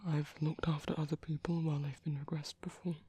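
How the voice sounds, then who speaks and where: A man playing a gentle, caring vampire priest in a whispered ASMR roleplay, speaking slowly and tenderly with soft, hesitant reassurance. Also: a little high, little pitch movement. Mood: reassuring, tender. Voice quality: soft, breathy, husky whisper.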